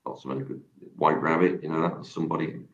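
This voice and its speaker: Very deep-voiced man, modest and rambling. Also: fairly even intonation, quick pace, vocal fry, echoey room, dull audio, slight background noise. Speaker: guest singer. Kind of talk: casual radio chat.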